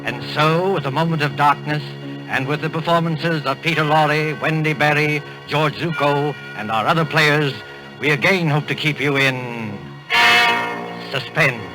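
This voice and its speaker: A male announcer narrates a vintage radio thriller. His slightly high voice is measured and full of suspense, with music clearly playing behind him on an old recording. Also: rough recording. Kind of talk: dramatic 1940s radio narration. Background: dramatic music.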